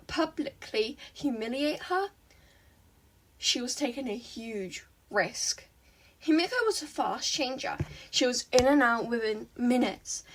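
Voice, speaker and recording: A woman reading fan fiction aloud as an expressive narrator. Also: high-pitched, recorded from a distance.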